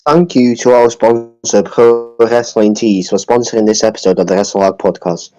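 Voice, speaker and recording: A man's voice: fairly low pitch, steady tone, normal speed, dark tone, faint music behind. A man co-hosting a podcast, recorded with slightly muffled sound. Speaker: podcast co-host reading a sponsor message.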